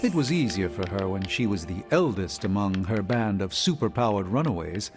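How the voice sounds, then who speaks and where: A man playing a grave, observant warrior ally in a video game, speaking formally and deliberately. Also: fairly low pitch, lively intonation, darkish tone, lightly acted. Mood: serious. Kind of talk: video game cutscene dialogue. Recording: music behind the voice.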